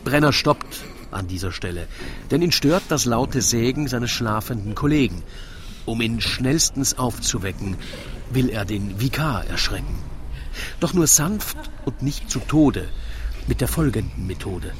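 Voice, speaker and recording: A man narrating a scripted rhyming story in a theatrical, deliberate storytelling manner. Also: mid-range voice, lively intonation, slight background noise.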